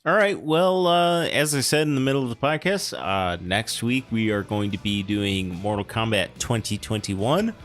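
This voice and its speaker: A man's voice: on the low side, faint music behind. A man hosting a podcast, chatting casually about movies in a joking, riffing way. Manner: joking, animated, quick to riff. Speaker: podcast host.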